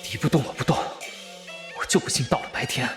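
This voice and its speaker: An actor playing a young cultivator's wary, impatient inner thoughts in an audio drama, muttering tensely. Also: male voice, fast talker, natural voice. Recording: echoing thought effect, music behind.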